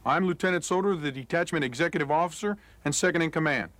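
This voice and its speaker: A man, an army lieutenant, giving a formal, scripted introduction of his role with a steady delivery. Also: a little high, fast, dry recording. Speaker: army lieutenant, executive officer. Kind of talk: scripted military self-introduction.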